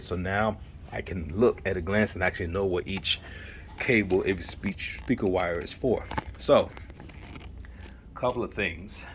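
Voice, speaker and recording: A man's voice: sits low, a bit bright. A man casually narrating a walkthrough of his home setup in an informal, enthusiastic, rambling hobbyist manner. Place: indoor home theater room. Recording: clearly noisy handheld home video.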